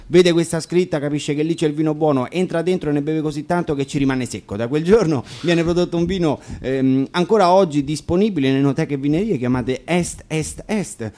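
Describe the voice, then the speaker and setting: Higher-voiced man introducing songs. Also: darkish tone. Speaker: musician introducing songs at a concert. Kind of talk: informal spoken introduction and storytelling to an audience. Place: radio broadcast of an acoustic concert.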